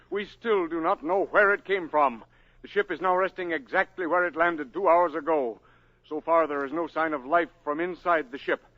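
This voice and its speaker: A man's voice: high-pitched, close to an everyday voice. A man playing a radio news announcer reporting urgently from a landing site in a 1950s radio drama. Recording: dull lo-fi old radio broadcast.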